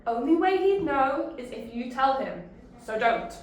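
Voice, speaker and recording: Woman playing a young woman sniper, defiant, high-pitched. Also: lively intonation, a little slow, very echoey, slight background noise. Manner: defiant, snappy.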